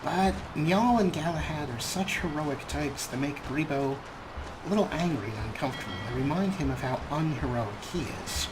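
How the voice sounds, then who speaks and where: A man hosting a let's play, commenting on the game and speaking to his viewers in a relaxed, wry way. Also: on the high side, moderate pace, noisy background. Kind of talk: gameplay commentary.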